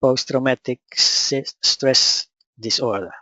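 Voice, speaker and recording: A man with a Dutch accent speaks in a calm, measured, matter-of-fact way, his first language clearly Dutch. His voice sits at an ordinary middle pitch. The recording is dry and a little dull, as if made on modest equipment. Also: no room sound. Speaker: spiritual teacher. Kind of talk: personal account and teaching talk.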